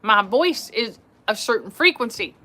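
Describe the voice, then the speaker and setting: A woman's voice: fairly high pitch, lively intonation, moderate pace, sharp tone. A woman hosting a livestream, talking animatedly to her viewers, repeating phrases and laughing often. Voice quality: voice that carries. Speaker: livestream host. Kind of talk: casual livestream talk to viewers.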